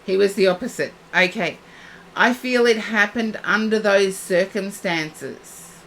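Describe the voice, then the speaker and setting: A woman giving a psychic reading on a live stream, soothing and reassuring, her voice going husky and hoarse. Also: medium pitch, unhurried, a bit bright, slight background noise, rough recording. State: losing her voice.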